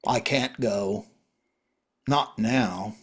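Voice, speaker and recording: A man playing a world-weary, wry survivor in a drama reading, talking in a halting, conversational way. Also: on the low side, slightly rough, exaggerated voice. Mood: calm, probing.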